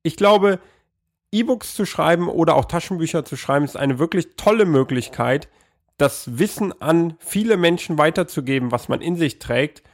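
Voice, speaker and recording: A somewhat high-voiced man hosts a podcast while fighting a cold. His talk is upbeat and welcoming, and he asks his guest questions with small hesitations. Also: lively intonation, normal speed. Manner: upbeat, welcoming, hesitant with fillers when asking. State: has a cold. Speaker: podcast host. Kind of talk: podcast intro and interview.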